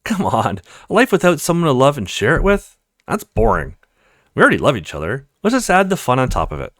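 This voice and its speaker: A man's voice: mid-range voice, wildly swooping pitch, brisk, fairly bright tone, no echo, studio quality. A man voicing a petite, insecure young woman in a visual novel, teasing and affectionate, with a plaintive, playful way of talking. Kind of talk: visual novel character read-aloud.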